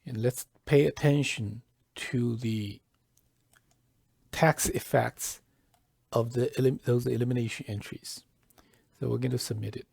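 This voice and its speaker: A man presenting a software tutorial step by step, halting and deliberate, often pausing mid-phrase. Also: medium pitch, fairly even intonation, creaky, breathy edge, dry recording, studio quality. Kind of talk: step-by-step tutorial narration, lightly scripted.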